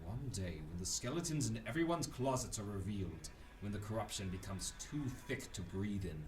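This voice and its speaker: A brooding masked crime fighter from a radio drama, acted by a man, with a low voice that swings dramatically. His lines are clipped, grandiose and fond of wordplay. The mic is a little distant, with faint noise behind. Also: lively intonation, normal speed, soft background music, lightly acted. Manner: clipped, melodramatic, pun-loving. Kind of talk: radio drama monologue and dialogue.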